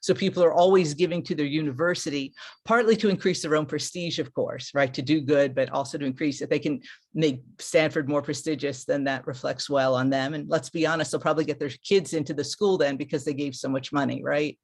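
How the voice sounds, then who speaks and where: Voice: mid-range voice, fairly even intonation, fairly quick. A middle-aged speaker giving a candid, unscripted webinar talk as a panelist who evaluates international course credit, with frequent restarts and fillers, over a video call.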